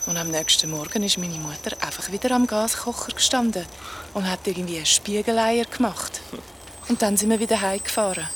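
A woman's voice: mid-range voice, a little fast, background noise, lightly acted. An actress playing a shaken young ghost woman with a Swiss accent, telling eerie memories in a colloquial, dramatic way in an audio drama. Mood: haunted, narrating.

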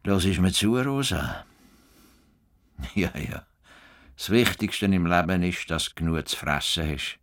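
Stubborn, blunt Swiss farmer, bright-voiced. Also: male voice, low-pitched, animated, a little slow, long pauses. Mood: musing, low. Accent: Swiss German. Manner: blunt, swearing, grumbling. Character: stubborn, idealistic, cantankerous farmer out to save the world. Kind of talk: radio play acting.

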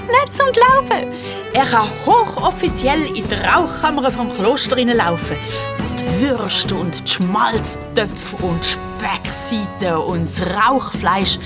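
A woman with a Swiss accent narrating a children's story in a playful, dramatic way. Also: a little high.

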